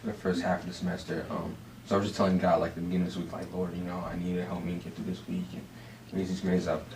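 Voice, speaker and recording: A man's voice: low-pitched, little pitch movement, very fast, darkish tone. A young man in college casually sharing a testimony, chatty and joking, with many fillers. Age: young adult. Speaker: student group member. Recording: echoey room, distant mic, background noise.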